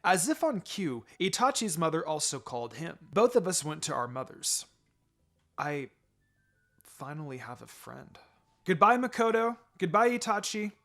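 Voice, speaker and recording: A man narrating fan fiction aloud in an expressive storytelling manner. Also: on the high side, a little slow, vocal fry, soft background music.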